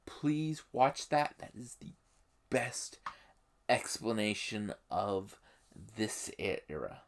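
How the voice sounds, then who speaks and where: A YouTube host giving explanatory commentary on a game setting, speaking emphatically and haltingly, with pauses. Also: mid-range voice, lively intonation.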